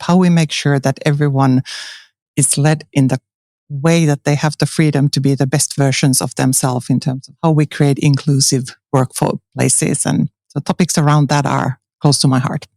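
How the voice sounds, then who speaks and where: A woman's voice: a bass voice, a little mellow, no room sound. A woman answers interview questions earnestly. Her speech is full of fillers and restarts. The sound is dry and close, with no room. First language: Finnish. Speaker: interview guest, transformation coach. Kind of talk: conversational interview. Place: conference venue.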